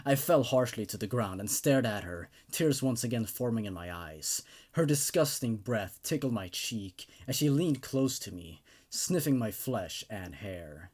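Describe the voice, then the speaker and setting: A man's voice: mid-range voice, animated, unhurried, a little mellow. A man hosting horror story readings, speaking in a dramatic, emphatic way as he narrates and gives his take. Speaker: host narrating and reviewing horror stories. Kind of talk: dramatic horror narration and casual enthusiastic review.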